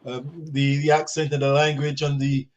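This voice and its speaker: A man from Barbados, living in England, chatting as a guest on a video call and often pausing with ums. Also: a little high, little pitch movement. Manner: hesitant, many ums. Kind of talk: casual video call chat. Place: home in England. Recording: dull lo-fi video call audio.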